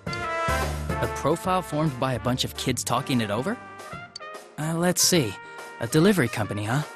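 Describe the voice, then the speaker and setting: A man's voice: mid-range voice, lively intonation, normal speed, close to an everyday voice. A man playing a hapless, casual young police detective in a video game, hesitant and easily flustered. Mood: doubtful. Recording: music under the dialogue.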